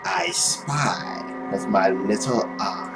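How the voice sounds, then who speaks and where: Man voicing a slow, taunting sinister stalker. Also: fairly low pitch, sing-song, gravelly, over music, heavily acted. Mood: taunting menace. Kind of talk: visual novel character read.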